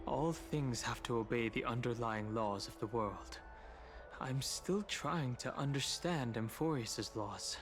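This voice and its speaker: An actor playing a calm, thoughtful young man who speaks in a measured, polite way. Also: mid-range voice, noisy background, natural voice. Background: game music.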